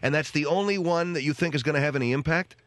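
A man's voice: on the high side, fast talker, a bit bright, no room sound. A man hosting a radio call-in show, probing callers with questions. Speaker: radio talk show host.

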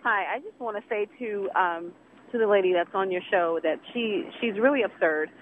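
A woman's voice: mid-range voice, moderate pace. A woman calling a radio show, indignant and preachy as she scolds. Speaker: caller. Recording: tinny phone line with faint noise.

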